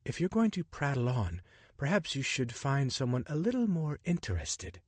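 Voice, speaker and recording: A man's voice: mid-range voice, wildly swooping pitch, moderate pace, a little mellow, breathy edge, no echo, voice pushed up. A man voicing a curt, prim older woman traveler with an English accent. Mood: dismissive.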